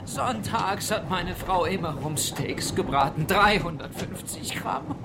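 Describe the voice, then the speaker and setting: A man's voice: sits high, expressive, unhurried, creaky, very noisy, exaggerated voice. An actor playing a terse, matter-of-fact male survivor aboard a small cutter in a German radio horror drama. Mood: wistful, hungry. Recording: loud music and waves behind voice.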